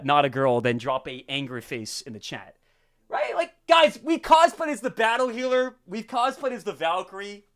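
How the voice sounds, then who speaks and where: A young man with a stuffy, sniffly voice, hosting a casual live stream and greeting viewers in rapid-fire hype. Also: high voice, fairly bright tone, clear, resonant tone. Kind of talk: casual livestream chat.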